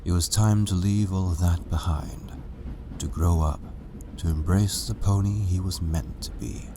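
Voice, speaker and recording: A man's voice: low-pitched, fairly even intonation, measured pace, noisy background. A man narrating a story as a scripted reading. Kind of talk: scripted fan fiction narration.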